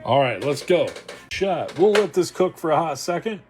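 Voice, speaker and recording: Male vlog host, lively intonation, slightly high register, informal and playful restoration chat, faint music. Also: moderate pace. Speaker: host of a radio repair channel. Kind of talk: casual hands-on restoration vlog talk.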